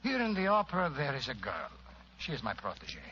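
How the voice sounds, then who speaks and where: A courtly police inspector with an Italian accent, florid and effusive. His bright, highish voice swings widely in old radio drama fashion, on a dull recording with faint noise. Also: wildly swooping pitch, brisk. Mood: fond, excited. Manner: formal, florid, hesitant politeness.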